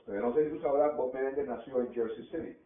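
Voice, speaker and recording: A man's voice: medium pitch, quick pace. Older man, Cuban Spanish accent, storytelling, narrow phone-line sound. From Cuba. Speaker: interviewee. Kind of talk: oral history recollection. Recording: tinny phone-like audio.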